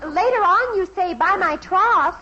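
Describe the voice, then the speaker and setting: An actress playing an earnest, romantic and easily indignant American teenage daughter in 1950s radio sitcom style. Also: female voice, very high-pitched, animated, a little slow. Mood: prompting. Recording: muffled, noisy vintage radio recording.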